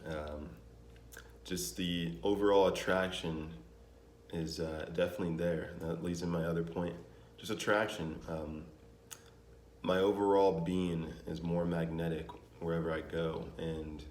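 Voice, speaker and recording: A young man with a very deep, low voice talks loosely to camera, circling back with fillers and restarts. The room is bare and echoey, and a faint hum of ambience sits behind him. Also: a little slow. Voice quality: naturally deep voice. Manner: relaxed, rambling, with many fillers and restarts. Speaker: vlogger. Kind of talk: casual vlog talk to camera. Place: home in Denver.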